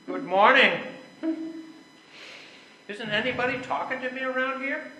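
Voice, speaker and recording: An older man plays a self-justifying husband in a period stage play. His voice is high and bright, with a blustering, halting delivery. It rings in an echoey room. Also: full character voice. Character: brash, self-justifying wayward husband in 1900s. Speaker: amateur readers-theater player.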